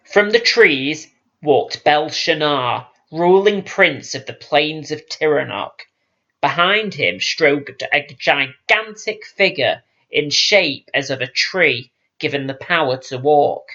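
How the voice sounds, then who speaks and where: A man's voice: a little high, animated, takes it slowly, pushed and bright, lo-fi sound. A man narrating a fantasy audiobook in a solemn, dramatic storytelling manner.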